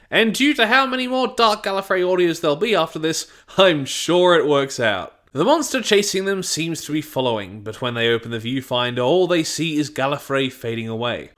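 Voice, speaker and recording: A man's voice: a little high, fairly bright tone. A man narrating a scripted but casual review of an audio drama, with wry asides.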